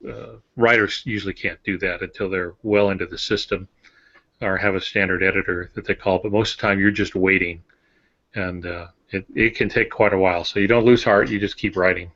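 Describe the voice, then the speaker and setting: A man giving matter-of-fact, measured advice in casual explanatory talk, as an author co-hosting a podcast. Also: low voice, fairly even intonation, fairly bright tone. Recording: dull lo-fi audio.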